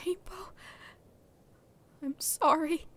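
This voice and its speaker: A woman playing a tearful high-voiced heroine. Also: drawn-out words, a little hiss or hum, exaggerated voice. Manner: emotional, pleading, tearful. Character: young woman, remorseful heroine. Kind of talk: fan audio drama acting. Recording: music underneath.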